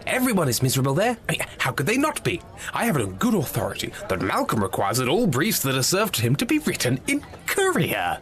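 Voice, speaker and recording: Haughty male senior partner for audio comedy, smug and sniping, lively rise and fall in pitch. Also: fast. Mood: disdainful. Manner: haughty, formal. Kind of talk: audio comedy drama acting.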